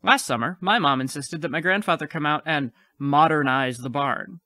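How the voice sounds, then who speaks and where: A man's voice: a little high, normal speed, bright, forceful tone, no echo. A man playing a frightened but defiant, clever child in an audio play. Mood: earnest explaining.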